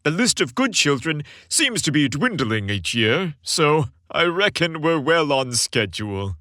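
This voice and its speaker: A man voicing a jolly, larger-than-life old Santa Claus with a booming voice in a storybook narration. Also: sing-song, a little slow, bright, forceful tone, no echo, clean studio sound, exaggerated voice. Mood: festive, commanding.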